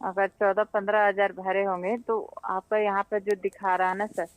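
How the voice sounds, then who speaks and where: Woman, Indian accent, smooth clear voice, polite call centre agent, repetitive explaining, recorded phone call. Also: medium pitch, little pitch movement, normal speed, dry recording. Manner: polite, repeatedly checks understanding. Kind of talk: customer service phone call, explaining figures.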